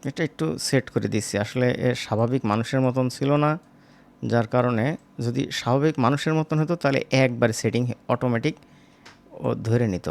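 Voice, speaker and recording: A man teaching as a software instructor, informal and step by step, often checking in with short tag questions. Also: medium pitch, steady tone, a little fast. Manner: conversational, seeking agreement with short tag questions. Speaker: animation tutorial instructor.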